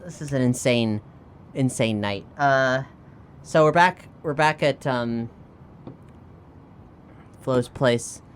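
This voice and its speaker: A woman playing a tabletop role-playing game, improvising casually and thinking aloud in halting phrases. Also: extremely low pitch, a little hiss or hum.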